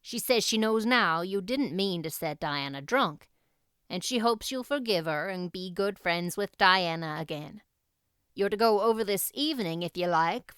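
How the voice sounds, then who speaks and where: A woman voicing a brisk, caring but no-nonsense older farm woman in an audiobook, matter-of-fact and dryly scolding. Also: fairly low pitch, a bit bright, smooth, no echo, studio quality. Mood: brisk, measured. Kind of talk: audiobook character voice.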